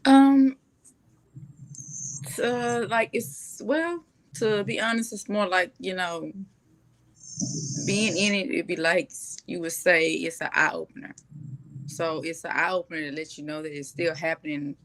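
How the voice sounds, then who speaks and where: Low, creaky-voiced woman chatting casually. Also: steady tone, unhurried, long pauses, low-quality recording. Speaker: stage play cast guest. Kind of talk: casual, conversational interview talk.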